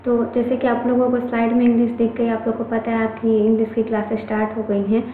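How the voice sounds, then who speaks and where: An Indian woman gives an online class in a higher voice, chatty and reassuring, going back over each point. Her voice comes through tinny and phone-like. It rings in an echoey room with faint noise behind it. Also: monotone, fast talker. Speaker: online English teacher. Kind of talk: Hindi-English mixed classroom lecture.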